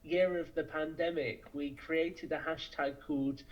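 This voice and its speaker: A man with a fairly high voice speaks as a guest in a casual podcast interview. He explains his ideas fluently, and the sound is slightly dull and lo-fi, as from a remote call. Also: fairly even intonation, a little slow.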